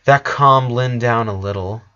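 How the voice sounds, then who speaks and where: A man's voice: medium pitch, unhurried, bright, forceful tone. A man reading a story aloud as scripted narration, in the role of narrator. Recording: dull lo-fi recording.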